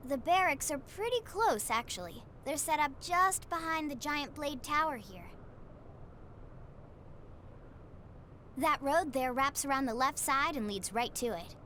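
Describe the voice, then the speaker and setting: A woman's voice: high voice, lively intonation, normal speed, hardly any pauses, bright, forceful tone, a little hiss or hum. An actress playing a chirpy, playful young woman pilot in a video game. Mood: upbeat. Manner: bubbly, playful. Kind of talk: video game cutscene voice acting. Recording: music behind the voice.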